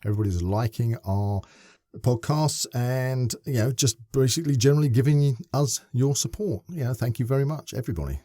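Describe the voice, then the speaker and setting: A man's voice: mid-range voice, brisk, hardly any pauses, mellow, no room sound, studio quality. A man hosting a casual podcast interview, asking long, winding questions with many restarts. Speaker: podcast host.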